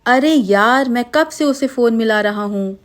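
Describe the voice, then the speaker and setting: Cartoon young man, voiced by a woman: restless, complaining to friends, pitched up, casual. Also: unhurried, close to an everyday voice. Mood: impatient. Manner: casual, grumbling banter. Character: impatient young men on a road trip. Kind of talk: cartoon storybook acting.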